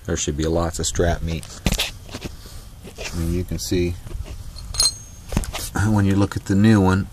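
Man, very low voice, casual rambling DIY vlog, outdoors, camera mic, faint background hiss. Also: fairly even intonation, unhurried, long pauses. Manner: rambling, folksy, frequent fillers and restarts. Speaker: DIY vlogger. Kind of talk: casual off-the-cuff how-to vlog. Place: outdoors on a driveway beside a trailer.

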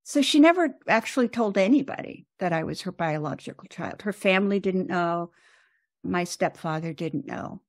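A woman's voice: fairly low pitch, animated, moderate pace, no echo, studio quality. Reflective American woman aged seventy. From United States. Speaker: podcast guest, composer and author. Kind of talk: reflective interview talk.

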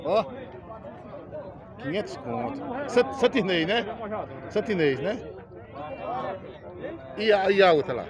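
A man's voice: high-pitched, big pitch swings, slow pace, long pauses. A man with a Northeastern Brazilian accent chatting casually to viewers as a market vlogger, repeating prices back. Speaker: vlog host touring a livestock market. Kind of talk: casual on-the-spot vlog chat. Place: outdoor, crowded livestock market. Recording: busy outdoor crowd noise.

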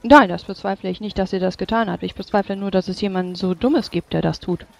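A tabletop roleplayer speaking formally and in a measured way, switching between in-character speech and narration. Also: medium pitch, fairly even intonation, vocal fry. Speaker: player or game master voicing characters in a tabletop game.

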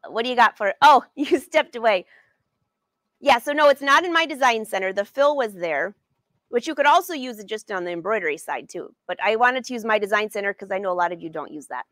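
Brief: woman in her 40s, sewing teacher on a livestream, friendly and chatty, slightly high voice, dry close sound. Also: lively intonation, brisk, fairly bright tone, no room sound. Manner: chatty and upbeat, addresses viewers by name. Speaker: sewing educator and brand ambassador. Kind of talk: live-streamed sewing lesson answering viewer questions. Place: sewing studio indoors.

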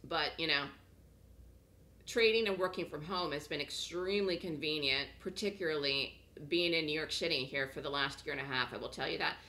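Bright-voiced woman pitching a trading course. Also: fairly quick. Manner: chatty, repeats phrases, frequent "okay?" check-ins. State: slightly hoarse, getting over a cold. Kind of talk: webinar sales pitch. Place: home office.

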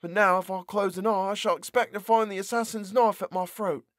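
A man voicing a desperate young prisoner who pleads in a high, strained voice. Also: fairly even intonation, a little fast, fairly bright tone, dry recording, studio quality. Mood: despairing.

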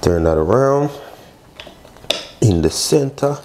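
A man's voice: on the low side, animated, slow, heavy background noise. A man, casually talking viewers through a recipe step by step, with short chatty exclamations. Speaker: cooking show host, chef. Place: indoor modern home kitchen.

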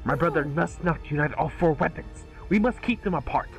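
A man's voice: a little high, fairly quick, gravelly, soft background music. A man playing a scheming villainous warlord in a casual table read, taunting and gloating. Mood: commanding. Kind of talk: amateur table read acting.

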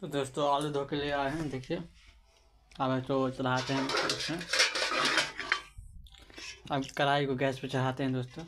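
A man talks through a home cooking vlog in a flat, level voice, narrating every step and calling out to his friends. He is recorded on a handheld phone in a small kitchen. Pots and dishes clatter clearly in the background. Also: mid-range voice, normal speed, long pauses, very noisy. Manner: chatty, keeps addressing friends, narrates each step. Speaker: cooking vlogger. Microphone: handheld phone camera.

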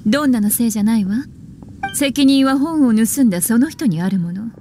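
A woman voicing a poised young witch librarian in an anime game, languid and teasing with a soft menace. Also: fairly high pitch, animated, brisk, slight background noise, natural voice. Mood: coolly menacing. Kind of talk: anime video game voice acting.

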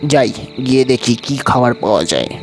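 A man's voice: medium pitch, lively intonation, moderate pace, natural voice. A man playing a frightened diner who recounts a haunted hotel in a dramatised horror audio story. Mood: suspenseful narration. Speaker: story narrator and lead actor. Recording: music bed under the voice.

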